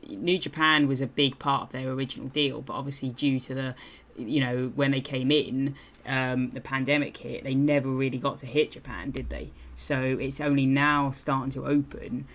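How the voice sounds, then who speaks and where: A British man co-hosting a podcast, his voice sitting flat and steady at a middle pitch. He talks things through evenly and checks in with his co-hosts as he goes. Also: moderate pace. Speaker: podcast co-host. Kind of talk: casual wrestling podcast chat.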